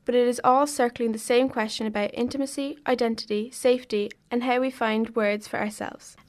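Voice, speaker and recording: A woman giving a calm, measured scripted introduction as the programme's narrator. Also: fairly high pitch. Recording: faint music under voice. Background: faint music.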